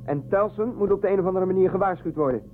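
A man's voice: high voice, very fast, natural voice. An actor playing an earnest, concerned spaceship crewman in a science fiction audio drama. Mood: anxious, reasoning. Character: earnest, concerned male spaceship crew member. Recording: narrow lo-fi radio drama sound.

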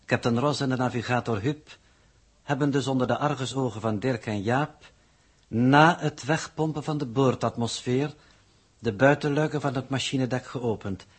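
An actor playing a pedantic, formal alien coordinator who reports in stilted, ponderous phrases in a 1960s radio drama. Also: male voice, mid-range voice, normal speed, breathy edge, natural voice. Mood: grave, formal.

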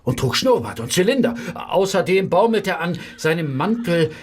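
An actor playing a witness giving a description in a radio drama, with a husky voice. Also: male voice, high voice, big pitch swings, normal speed, a little hiss or hum. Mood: recalling.

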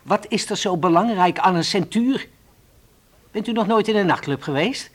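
An actor playing a nervous, defensive young nightclub entertainer in a radio play, with a hesitant, evasive way of talking. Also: male voice, high-pitched, lively intonation, normal speed. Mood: indignant. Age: young adult.

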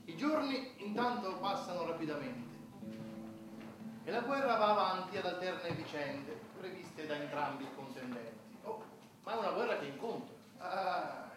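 High-voiced, wry underling, echoey hall. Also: male voice. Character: wry, stubborn male underling chronicling an absurd war. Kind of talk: satirical theatrical narration and dialogue. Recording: very echoey hall, distant from the mic.

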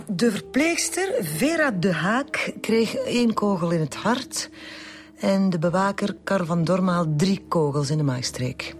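An actress playing a wry Flemish forensic expert in a radio drama. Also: female voice, lowish voice. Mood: brisk, factual.

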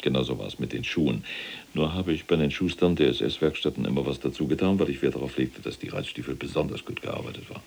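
An actor playing a pompous, pedantic middle-aged SS general in a German radio play, husky and haughty with clipped delivery. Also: male voice, a bass voice, quick pace, darkish tone, heavily acted. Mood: self-satisfied, matter-of-fact.

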